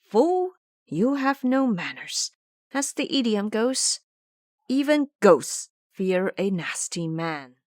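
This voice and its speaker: Woman acting a gentle, pious monk master. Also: medium pitch, very animated, no room sound, clean studio sound, voice pushed up. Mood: scolding. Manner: courteous, measured.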